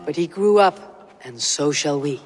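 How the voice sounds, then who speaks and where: Echoing, steady Viking warrior. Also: male voice, on the high side, unhurried, faint music behind. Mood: encouraging. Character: steady, commanding Viking warrior, loyal old friend. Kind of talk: dramatic video game acting.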